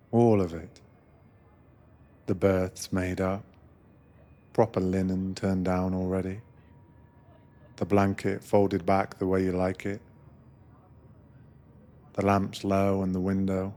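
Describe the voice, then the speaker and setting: A man narrating a soothing ASMR guided meditation as an attentive sleeper train attendant, gentle and unhurried. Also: low-pitched.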